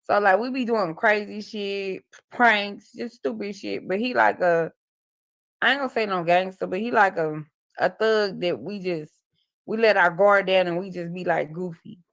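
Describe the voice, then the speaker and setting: A woman's voice: mid-range voice, normal speed. A woman in her forties hosting a casual livestream, telling rambling, profane stories to her viewers. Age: 40s. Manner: loose, profane, rambling storytelling. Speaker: livestream host. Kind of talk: casual live chat.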